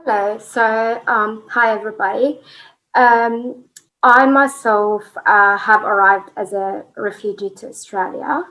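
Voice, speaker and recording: A woman's voice: medium pitch, steady tone, unhurried, fairly bright tone, low-quality recording. A woman from Iraq whose first language is Arabic, a family support manager introducing herself in a webinar, speaking with hesitant fillers.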